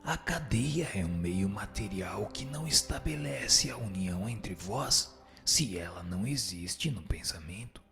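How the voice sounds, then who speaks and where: Gravelly, solemn Brazilian spirit, played by a man. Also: lowish voice, a bit bright, heavily acted. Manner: solemn, didactic, formal. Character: solemn, instructive male spirit answering questions. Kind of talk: scripted radio drama dialogue, formal doctrinal answers. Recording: music under the voice. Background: background music.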